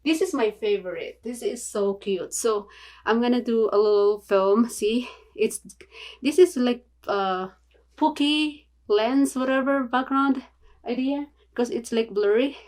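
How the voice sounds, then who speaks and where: A woman from the Philippines with a Filipino accent, chatting casually in a home video tutorial, halting and apologetic with many fillers. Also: a little high, darkish tone. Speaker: vlogger. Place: small home room.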